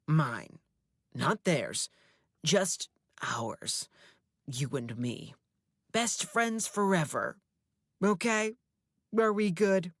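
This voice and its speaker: A woman's voice: low voice, dry recording, studio quality, exaggerated voice. A woman voicing a possessive, menacing male creature that hides in a closet, who speaks in a coaxing, controlling way that turns into threats.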